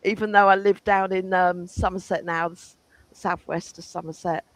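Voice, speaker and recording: A woman's voice: fairly low pitch, fairly even intonation, moderate pace, dry recording, poor audio. A woman from England with a London accent, talking in a chatty, enthusiastic way as a caller on a call-in show. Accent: London English. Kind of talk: casual chat.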